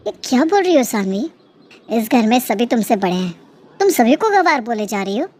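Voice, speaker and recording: A woman's voice: fairly high pitch, sing-song, moderate pace, a little mellow. A woman voicing a young husband in a story, stern and reproachful as he defends his family. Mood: indignant.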